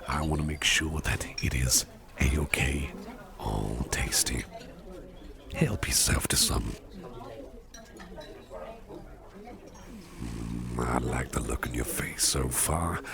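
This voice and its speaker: A man playing an attentive, courteous businessman boss in a soft ASMR roleplay, speaking in a breathy near-whisper with a gentle, reassuring manner. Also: rumbling low, full character voice. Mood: warm, indulgent.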